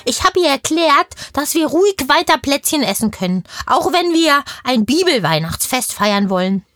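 A woman's voice: high voice, very animated, normal speed, fairly bright tone, soft background music. A woman playing a curious, chatty little girl in an audio play, telling things eagerly and in a wordy, childlike way. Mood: cheerful, eager.

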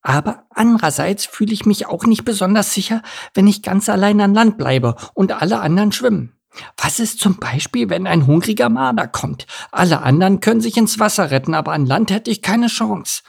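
A man's voice: high-pitched, lively intonation, brisk, vocal fry, slightly rough, pitched above the performer's own voice, heavily acted. A man voicing an anxious, overcautious young boy duckling in a storybook, earnest and brooding. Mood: worried. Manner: brooding, fretful. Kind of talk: storybook character voice.

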